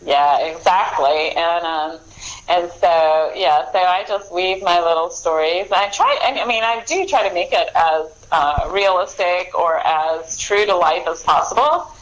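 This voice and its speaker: American lady author chatting in an interview, kinda low voice, rambles a bit. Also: a bit bright, low-quality recording. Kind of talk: casual interview chat.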